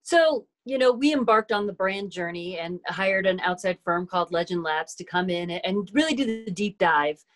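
A woman's voice: medium pitch, animated, moderate pace, hardly any pauses. A woman speaking as an interview guest in a composed, businesslike way. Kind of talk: interview answers.

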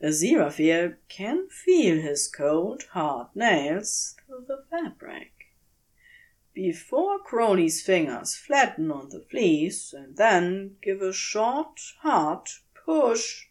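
Woman, story narration, unhurried pace, lively melodic intonation, dramatic pauses. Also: medium pitch. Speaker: audiobook reader of fan fiction.

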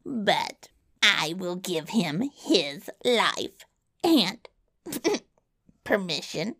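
A woman voicing a gloating, wicked little yellow dwarf in a storybook tale, with a slow delivery broken by cackles. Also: mid-range voice, voice pushed up, heavily acted. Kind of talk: storybook villain voice.

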